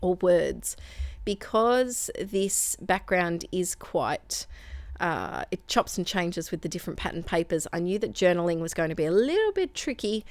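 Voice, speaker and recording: A woman's voice: on the low side, no echo. An Australian woman chatting through a scrapbooking process video in a voice-over, rambling with asides and corrections while losing her voice. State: losing her voice, throat sore. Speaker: scrapbooking channel host. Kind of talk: voice-over craft process narration.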